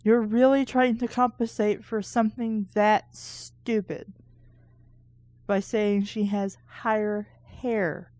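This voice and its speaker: A woman's voice: mid-range voice, little pitch movement, slow, a little mellow, no echo. A woman hosting a book reading show, reading aloud casually and breaking off for sarcastic, critical asides.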